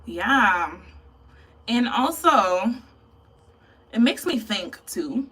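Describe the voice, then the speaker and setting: A young woman from Ghana chatting casually to camera as a beauty vlogger, thoughtful and quick to laugh. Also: on the high side, very animated, fairly bright tone, breathy edge, recorded from a distance.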